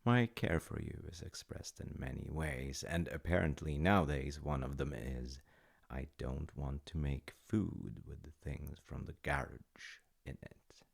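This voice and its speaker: A man playing a gentle, doting dad with dry humor in an intimate comfort audio roleplay, musing softly and haltingly in a husky murmur. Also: very deep voice, exaggerated voice. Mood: gently teasing. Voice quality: gravelly, husky, often dropping to a breathy murmur. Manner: slow, soft-spoken, halting, musing. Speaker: voice actor.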